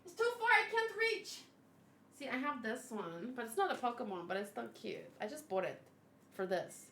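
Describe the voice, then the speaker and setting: A woman hosting a casual livestream, thinking aloud and exclaiming as she chats with viewers in an animated way. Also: a little high, normal speed, slight background noise. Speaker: livestream host. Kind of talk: casual livestream chat, reading and answering viewers.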